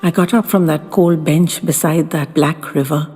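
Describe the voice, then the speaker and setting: A woman narrating a first-person story in a dramatic audiobook style, with theatrical, deliberate, literary phrasing. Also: deep voice, steady tone, mellow. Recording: long reverb with faint music behind.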